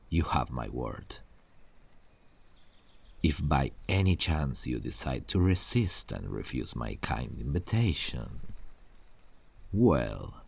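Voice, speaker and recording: A menacing warlord king from a medieval roleplay drama, acted by a man. His voice is husky and full of gravel, swinging expressively as he talks down to his captive queen. He is possessive, deliberate and coldly threatening. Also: rumbling low, exaggerated voice.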